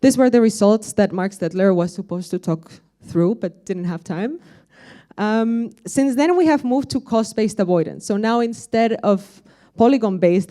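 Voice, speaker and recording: Young Spanish-accented woman, warm mellow tone, conference presenter, friendly and explanatory. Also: mid-range voice. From Spain. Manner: friendly, explanatory, with frequent fillers. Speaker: conference speaker. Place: conference room with audience.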